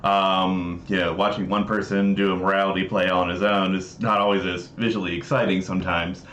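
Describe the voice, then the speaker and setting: A man hosting a livestream, explaining things casually in a halting, hesitant way. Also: deep voice, little pitch movement, fairly bright tone. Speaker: livestream host. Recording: roomy echo, dull mic, noisy background.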